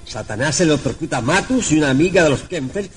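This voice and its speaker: An actor playing a suspicious, pondering police inspector in an old radio drama, with theatrical delivery. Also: male voice, on the high side, expressive, quick pace, a little hiss or hum, lightly acted. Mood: brooding.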